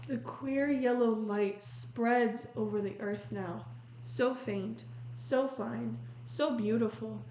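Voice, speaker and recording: Slow-paced woman reading a storybook in echoey room. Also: a little high, a little mellow. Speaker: read-aloud host. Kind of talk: storybook read-aloud with commentary on pictures. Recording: roomy echo with faint noise.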